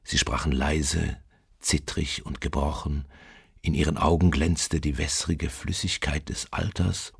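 An actor playing a haunted, doomed seafarer in a literary radio drama, speaking in a slow, brooding, solemn manner with a husky, gravelly voice. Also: male voice, no echo, studio quality, heavily acted. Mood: eerie awe. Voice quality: very deep, gravelly, husky, at times near whisper. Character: haunted male seafarer recounting his doom aboard a ghost ship.

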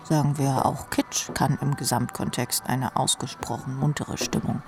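A woman's voice: extremely low pitch, little pitch movement, moderate pace, over music. A woman playing a jaded, sardonic rock drummer who narrates her own life drily in a radio play. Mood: wry. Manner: dry, sardonic. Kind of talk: scripted first-person radio drama narration.

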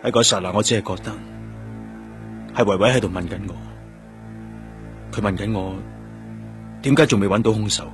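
A man's voice: fairly low pitch, moderate pace, long pauses, natural voice. An actor playing a grieving, haunted police detective who narrates his memories in a radio drama, brooding and solemn, with a Hong Kong Cantonese accent. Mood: brooding, sorrowful. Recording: music behind the voice.

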